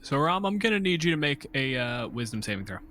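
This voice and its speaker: A man's voice: mid-range voice, big pitch swings, quick pace, pushed and bright, slight background noise. A man running a tabletop game as game master, narrating in a conversational, joking way.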